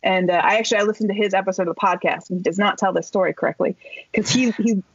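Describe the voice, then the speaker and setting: A woman in her thirties telling a story as a podcast guest, talking in a casual, animated way with lots of fillers and the odd swear word. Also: medium pitch, rapid-fire, breathy edge, dry recording. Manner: animated, chatty, many fillers and swear words. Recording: dull dry podcast recording.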